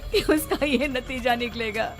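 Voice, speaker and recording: A woman's voice: on the high side, measured pace, faint music behind, close to an everyday voice. A woman playing a guilt-ridden, desperate mother in a cartoon story, pleading dramatically. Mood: regretful pleading. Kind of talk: Hindi cartoon story acting.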